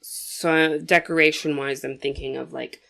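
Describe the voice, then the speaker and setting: A woman's voice: sits low, steady tone, unhurried, vocal fry, pushed and bright. A young woman hosting a casual movie commentary, chatty and opinionated, talking straight to viewers while sniffly from a cold.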